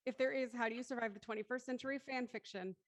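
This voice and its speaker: A woman's voice: on the high side, steady tone, quick pace, dry recording. A woman hosting a casual live online discussion, talking in an enthusiastic, wordy way as she thinks aloud. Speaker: host of a live web show after-talk. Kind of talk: casual chat, moderating a discussion.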